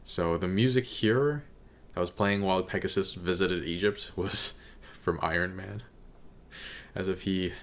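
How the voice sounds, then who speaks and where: A man giving casual, unscripted commentary as a reaction video host, halting as he thinks aloud. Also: deep voice, a bit bright, a little hiss or hum.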